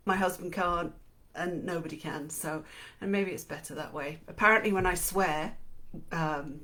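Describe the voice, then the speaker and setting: A middle-aged woman with an English accent, co-hosting a live-streamed book show in a chatty, self-deprecating way, often laughing. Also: medium pitch. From England and Switzerland. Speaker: podcast co-host. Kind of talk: live-streamed casual chat.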